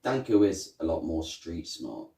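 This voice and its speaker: A man's voice: deep voice, big pitch swings, measured pace, gravelly, dark tone, distant mic. A man co-hosting a casual movie review podcast, chiming in with short agreeing remarks. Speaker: podcast co-host. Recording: echoey room, off-mic.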